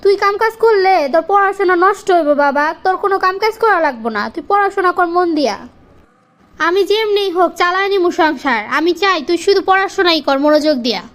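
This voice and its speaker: A woman's voice: very high-pitched, lively intonation, quick pace, fairly bright tone. A woman voicing a humble, caring working mother in a cartoon, with a Bangladeshi accent. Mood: caring, tender. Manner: pleading, caring. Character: struggling, pleading garment-worker mother, caring and humble. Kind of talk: cartoon voice acting.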